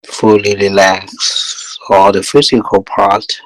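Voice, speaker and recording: A man leading a guided meditation as a teacher, speaking calmly in short, measured phrases with long pauses between them. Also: fairly low pitch, dry recording, low-quality recording.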